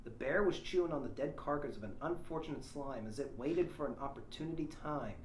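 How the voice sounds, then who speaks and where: A man's voice: fairly high pitch, a little fast. A man hosting a read-along, moving between casual chat and reading a story aloud, recorded on a small shared lapel microphone. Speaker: host of a read-along show. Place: echoey room. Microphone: small lapel microphone shared by the group. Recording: roomy echo, faint noise, small lapel mic.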